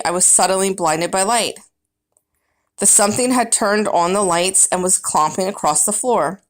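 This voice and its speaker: A woman reading a children's book aloud as first-person narrator, with dramatic, emphatic storybook phrasing. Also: medium pitch.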